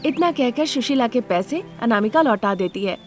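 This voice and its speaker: High-pitched woman narrating a story. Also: expressive, normal speed, darkish tone, faint music behind.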